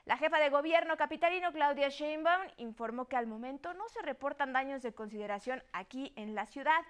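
A woman's voice: high-pitched, a little fast, fairly bright tone. A woman with a Mexican accent presenting broadcast news as an anchor in a formal, courteous manner.

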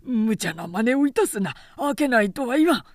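A woman voicing a flustered, officious male gate guard in an audiobook, calling out with urgent, shrill shouts. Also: expressive, moderate pace, no echo, studio quality, natural voice. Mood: alarmed.

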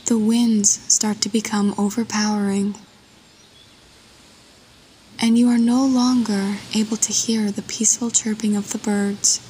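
Woman, guided meditation, soft mellow tone, even gentle delivery, washy long reverb. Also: a little high, slight background noise. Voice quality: soft, breathy. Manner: soothing, gentle, unhurried.

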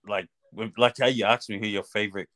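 A man's voice: on the low side, fairly even intonation, a little fast, fairly bright tone. A man from Australia with a lightly New Zealand-tinged accent, chatting casually as a podcast guest in a laid-back, slangy way. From Australia (Melbourne). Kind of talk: casual chat.

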